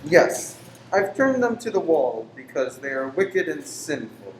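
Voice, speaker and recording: A man playing a brooding lodger, swinging pitch. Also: very animated. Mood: ominous. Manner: formal, stiff, deliberate. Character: brooding, pious, sinister male lodger, formal and courteous. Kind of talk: radio play acting. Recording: roomy echo, faint noise.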